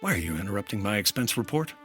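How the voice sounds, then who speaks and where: A man's voice: on the low side, big pitch swings, very fast, fairly bright tone, faint music behind. A man acting as an earnest hard-boiled insurance investigator, with clipped, dry narration in 1950s radio detective style. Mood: businesslike.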